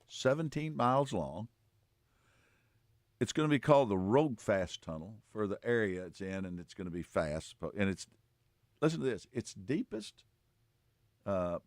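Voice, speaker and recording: A man co-hosting a casual radio chat in a halting, folksy way. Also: sits low, lively intonation, no echo, clean studio sound. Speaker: radio show co-host.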